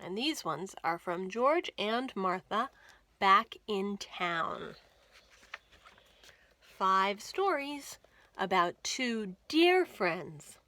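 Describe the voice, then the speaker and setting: A woman in her thirties reading a picture book aloud to children, with careful, expressive storytelling. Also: medium pitch. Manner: slow, careful, expressive delivery for young children. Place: small indoor room lined with bookshelves.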